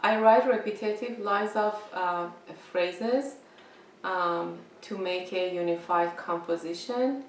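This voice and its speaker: Farsi-native woman artist, halting speech, room echo. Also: on the low side, distant mic, a little hiss or hum. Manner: hesitant, many fillers. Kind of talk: talking about her drawings.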